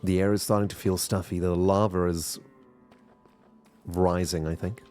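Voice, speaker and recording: A man's voice: low voice, level tone, normal speed, a little mellow, faint music behind. A man with a South African accent chatting casually to his viewers while streaming a game, rambling and addressing chat directly. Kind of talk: casual gameplay commentary.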